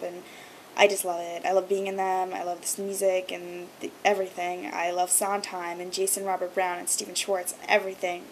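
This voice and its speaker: A woman's voice: medium pitch, a little hiss or hum, rough recording. A young woman whose first language is Hebrew, chatting to camera in a gushing, filler-heavy vlog. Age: young adult. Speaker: vlogger. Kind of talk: casual chat to camera.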